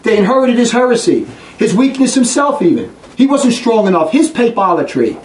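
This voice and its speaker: A man giving an impassioned religious talk to a group in a fast, blunt, combative way. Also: very high-pitched, sing-song. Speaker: religious preacher. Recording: echoey room, distant mic, faint noise.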